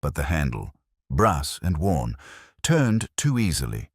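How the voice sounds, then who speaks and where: A man playing a weary, middle-aged literary police inspector, narrating his case in a measured, reflective detective-drama style. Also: very deep voice, huge pitch swings, husky, no room sound, studio quality, exaggerated voice. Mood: reflective, grave. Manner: measured, brooding, literary delivery. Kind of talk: scripted first-person detective narration.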